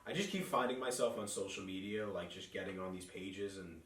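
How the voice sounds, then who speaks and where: A man's voice: sits low, a little fast, fairly bright tone, roomy sound, distant mic. A young man with a New York accent, hosting a podcast and joking around in casual, rambling chat. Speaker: podcast host.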